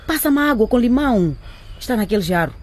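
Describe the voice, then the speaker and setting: A woman's voice: fairly high pitch, lively intonation, brisk, natural voice. Woman playing an anxious, stubborn mother, noisy recording. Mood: defensive, bossy. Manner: urgent, bossy. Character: anxious, stubborn poor mother trusting in a seer. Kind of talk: radio drama acting.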